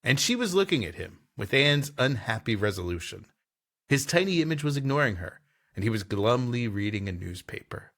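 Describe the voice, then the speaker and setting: Male narrator, pulp fiction reading, dramatic, very animated intonation, some vocal fry. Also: mid-range voice, a little fast. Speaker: host and story reader. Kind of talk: dramatic pulp story narration with comic asides.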